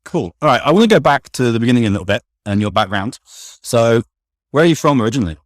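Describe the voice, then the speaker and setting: A man hosting an interview, asking questions in a measured, conversational way with some fillers. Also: medium pitch, expressive, dry recording, clean studio sound. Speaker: podcast host, interviewer.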